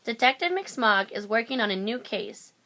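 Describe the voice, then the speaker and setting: A woman reads a children's story aloud. Her voice is fairly high, with a bright, forceful tone. She narrates as a storyteller to young listeners. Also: animated, moderate pace. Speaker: storybook reader.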